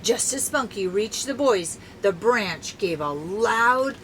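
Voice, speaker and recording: An older woman, a grandmother, reading a children's story aloud in an animated, dramatic way. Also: mid-range voice, slow, noisy background.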